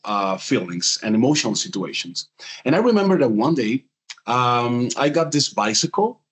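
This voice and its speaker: A man in his forties from the Dominican Republic with a Dominican Spanish accent, a guest in an interview who explains things with many pauses and restarts. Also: medium pitch. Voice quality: hesitant, many fillers and restarts. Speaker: guest, voiceover artist and music producer. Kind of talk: casual interview talk.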